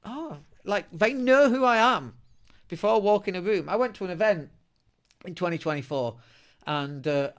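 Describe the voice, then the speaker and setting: Middle-aged Leeds man, podcast host, slightly raised pitch, chatty, blunt, self-mocking. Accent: Northern English, Leeds. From England. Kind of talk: casual solo podcast talk.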